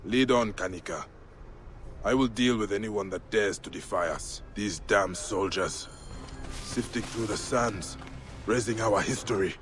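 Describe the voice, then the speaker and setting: An actor playing a grave, resolute warrior protector of ancient Egypt in a video game, speaking in measured, solemn phrases. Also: male voice, fairly low pitch, lightly acted. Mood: grimly defiant. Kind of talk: video game cutscene acting. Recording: game music behind the voice.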